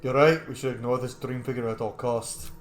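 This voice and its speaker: A man's voice: medium pitch, steady tone, a little fast, over music. A man giving casual, informal commentary as he streams a game playthrough, with chatty asides. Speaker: gaming streamer. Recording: roomy echo, faint background noise.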